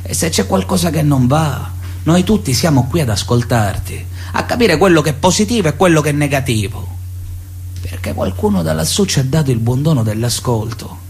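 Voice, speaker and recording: An actor playing a pious, paternalistic Sicilian mafia elder, solemnly sermonizing with veiled menace in a radio drama letter. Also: male voice, a little high, expressive, a little mellow. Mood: paternal sermonizing. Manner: solemn, sermonizing, measured insistence. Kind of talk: theatrical radio drama monologue, letter read aloud.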